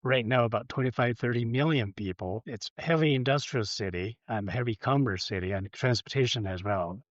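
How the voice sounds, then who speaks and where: A man from China speaks from his home office as an interview guest. He answers haltingly, repeating words and filling pauses. The call audio is dry and a little dull. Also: mid-range voice, dry recording. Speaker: guest, entrepreneur.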